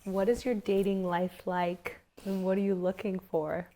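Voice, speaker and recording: A woman's voice: medium pitch, level tone, normal speed, warm tone. A woman hosting a casual podcast interview, asking questions and affirming her guest often. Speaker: podcast host.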